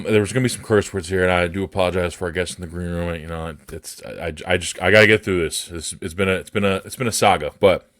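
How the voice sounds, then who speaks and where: An American man with a bassy voice, hosting a podcast and ranting off the cuff in a blunt, profane, indignant way. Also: a bit bright, dry recording, studio quality. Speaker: podcast host. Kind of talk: unscripted personal rant.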